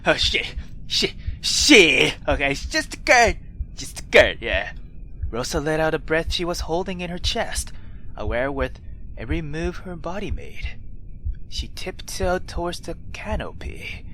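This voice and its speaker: A game let's player reading a visual novel aloud expressively, dropping into whispers at tense moments and adding excitable reactions. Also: medium pitch, a little slow, slight background noise, low-quality recording. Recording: background music under the voice.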